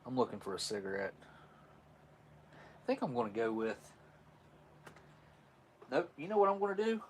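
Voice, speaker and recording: A man's voice: mid-range voice, sing-song, moderate pace, darkish tone, a little hiss or hum. A man in his forties hosting a live stream, chatting casually with viewers in a rambling, chuckling, easygoing way. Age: 40s. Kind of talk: casual live chat reading viewer messages.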